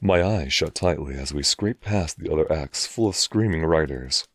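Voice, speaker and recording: Very deep male horror narrator. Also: moderate pace, breathy edge, no echo. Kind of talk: scripted first-person story narration.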